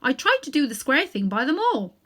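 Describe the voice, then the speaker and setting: Lady doing a polite cockney cabby guy, eager and folksy, higher and louder than her narration. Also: very animated, brisk, exaggerated voice. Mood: earnest. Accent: London Cockney. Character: humble cockney cabman, male, earnest and polite.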